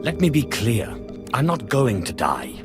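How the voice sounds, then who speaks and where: An actor playing a scornful, gruff warrior in a video game, curt and dismissive. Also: male voice, mid-range voice, huge pitch swings, measured pace, music in the background. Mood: defiant. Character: scornful, gruff male warrior, defiant and sardonic. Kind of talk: scripted video game dialogue.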